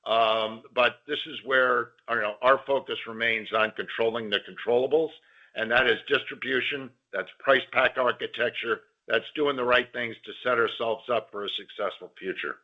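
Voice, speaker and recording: A man with a mid-pitched voice speaks as a company executive on an earnings call. He answers in a measured, careful way. The sound is a little dull, like conference audio. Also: fairly even intonation.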